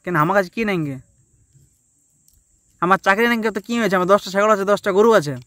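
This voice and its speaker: A man's voice: high voice, fast, noisy background. A young man with a rural western Bengali accent from Purulia, pleading and arguing insistently and melodramatically on a casual prank phone call, recorded outdoors. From West Bengal, India. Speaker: prank caller.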